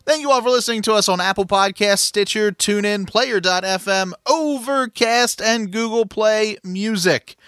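A man hosting a sports podcast, opening with upbeat, brisk casual chat. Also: high voice, a bit bright, clear, resonant tone, no echo, studio quality. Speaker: podcast host.

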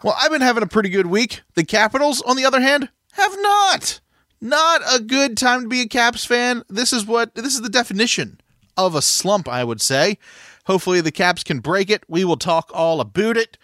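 A man hosting a sports podcast, opening with upbeat, brisk casual chat. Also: high-pitched, animated, bright, forceful tone, no echo, clean studio sound. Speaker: podcast host.

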